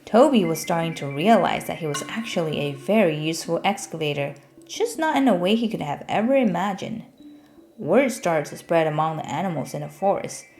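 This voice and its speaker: A woman with a fairly low voice narrates a children's story. It is a scripted read-aloud, told as a storybook narrator would, with music playing underneath. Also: darkish tone.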